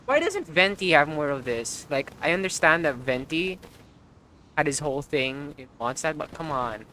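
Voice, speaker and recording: A man's voice: fairly high pitch, sing-song, moderate pace, bright, forceful tone, a little hiss or hum. Casual young man streaming a game. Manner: informal, sweary. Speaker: game livestreamer. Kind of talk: casual reactive gameplay commentary. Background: game music.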